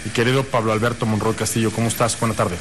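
A man's voice: lowish voice, little pitch movement, rapid-fire, fairly bright tone, slight background noise. A man with a Mexican accent hosting a radio show in a courteous, chatty, conversational way. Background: music bed.

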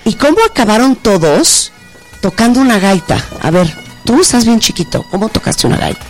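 A woman's voice: on the high side, very animated, fairly quick, faint music behind. A middle-aged woman with a Mexican accent hosting an interview in a playful, flirtatious, exclamatory way. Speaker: show host.

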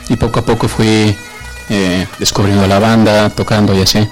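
A man is a guest on a talk show and tells a story from his past. He speaks in a reminiscing way, and his voice sits fairly low. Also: level tone, moderate pace, faint music behind. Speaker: bagpipe band guest.

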